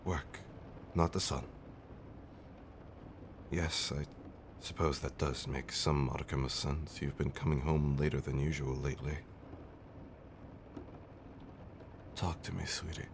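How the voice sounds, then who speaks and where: Guy doing a low voiced old vampire husband, doting and a bit fussy, comfort roleplay, noisy ambience behind him. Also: steady tone, gravelly. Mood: tender concern. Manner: old-fashioned, genteel wording with dramatic pauses. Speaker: audio roleplay performer. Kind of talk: intimate comfort roleplay, ASMR-like storytelling.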